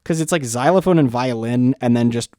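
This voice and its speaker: A man co-hosting a music review show in a college radio studio, speaking at a middle pitch for a man. He rambles, restarts his sentences and swears offhandedly. The sound is close and dry, with no room echo. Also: a little fast, dark tone. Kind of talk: casual music review chat.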